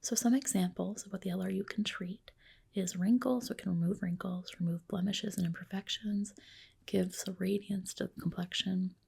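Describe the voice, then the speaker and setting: Female ASMR performer, breathy whisper with a mellow tone, reassuring clinic-roleplay delivery. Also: mid-range voice, steady tone, moderate pace. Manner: soothing, reassuring clinician addressing a patient.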